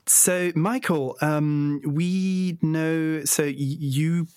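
A man hosting a podcast, enthusiastic and halting, with many ums and restarts. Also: a little high, fairly even intonation, a little mellow, clear, resonant tone, no echo, clean studio sound. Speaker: podcast host. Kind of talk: podcast interview.

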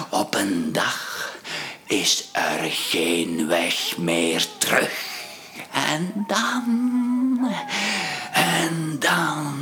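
A man's voice: sits low, pushed and bright, a little hiss or hum, exaggerated voice. An actor playing a haunted, obsessed man in a radio play, delivering an ominous theatrical warning with whispers and outbursts. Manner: ominous, dramatic, slipping into breathy whispers and outbursts. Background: music soundscape.

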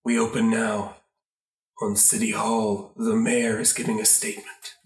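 A man's voice: fairly low pitch, level tone, a little slow, vocal fry. A man narrating an improvised radio play in a dramatic storytelling style. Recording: echoey, distant, music underneath.

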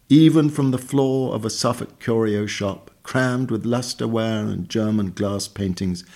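Low-voiced man reading a literary essay. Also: a little mellow. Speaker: reader of a magazine essay.

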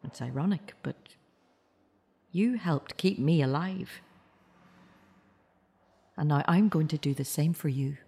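A woman acting a bereaved, searching mother in an audio drama, naturalistic and halting, with anxious care. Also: sits low, creaky, dark tone, breathy edge, full character voice. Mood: reflective, forgiving.

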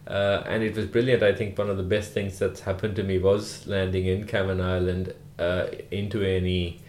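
A man from Sri Lanka, a rural GP, answering podcast interview questions in an unhurried way with many "uh" fillers. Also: low-pitched, little pitch movement, darkish tone, echoey room, slight background noise. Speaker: guest, rural GP.

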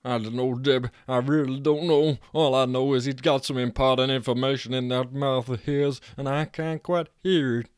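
A blustery, boastful man with a smooth, resonant voice, put on by a male storyteller. He swears freely and drawls in a folksy way, heard close and dry with no room around him. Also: mid-range voice, fairly even intonation, moderate pace, few pauses, dry recording, close to an everyday voice. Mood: fretful. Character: brash loud adult man, boastful party guest.

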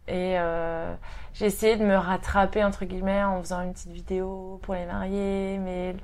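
A woman in her thirties from Brittany, a guest talking casually in an interview, with many pauses and fillers. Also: medium pitch, little pitch movement, darkish tone. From Brittany, France. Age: 30s.

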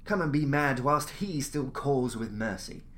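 A man's voice: mid-range voice, expressive, a little slow, a bit bright. A man voicing a fervent, urgently pleading sailor who has gone mad, in a dramatic story reading. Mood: frenzied, defiant.

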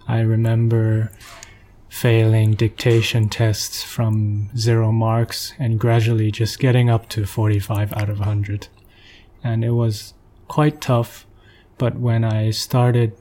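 Young man, low voice, flat delivery, mellow tone, measured storytelling. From Hong Kong. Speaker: interview guest. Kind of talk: casual interview.

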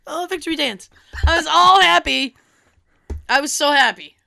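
A young woman, hoarse from a cold, telling a story in casual chat and acting out quoted dialogue with a swear now and then. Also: high-pitched, moderate pace, sharp tone.

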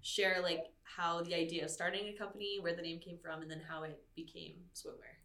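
A woman's voice: lowish voice, steady tone, fairly quick, fairly bright tone, echoey room. A woman hosting a podcast, introducing her guest and asking friendly questions. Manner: friendly, asks questions, laughs easily. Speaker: podcast host.

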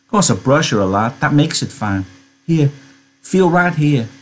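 Man putting on a high, vain young farm wife. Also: lively intonation, moderate pace. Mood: complacent, chatty. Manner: chatty, informal rustic speech.

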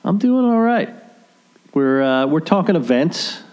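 A man's voice: fairly high pitch, very animated, a little slow, darkish tone, clean tone. Rambling man hosting local radio. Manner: rambling, lots of fillers and restarts. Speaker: radio show host. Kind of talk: casual chat.

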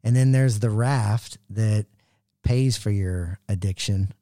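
A man's voice: on the low side, slow, dark tone, dry recording, clean studio sound. A man with an American accent hosting a casual interview in a laid-back, slangy, enthusiastic way. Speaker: interviewer, host.

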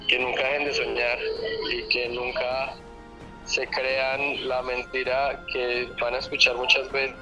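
A man from Colombia speaks earnestly as an interview guest, his pitch level and fairly flat, with little rise and fall. Music plays clearly underneath his voice throughout. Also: measured pace, low-quality recording. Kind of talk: interview answers.

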